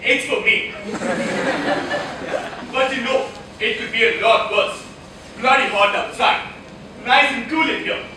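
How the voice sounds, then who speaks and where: Stage comedy: male actor as breezy, genial physician, unhurried patter, heard distantly in a reverberant auditorium. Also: extremely high pitch. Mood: jovial. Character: genial, theatrical doctor with a bad back. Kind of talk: amateur stage comedy acting. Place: college auditorium stage, recorded from afar. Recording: echoey auditorium, distant mic, noisy.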